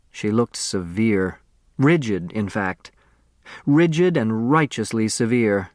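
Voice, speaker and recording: An American man reading a story as an audiobook narrator, expressive and precise. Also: fairly low pitch, no room sound, studio quality. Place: dry studio.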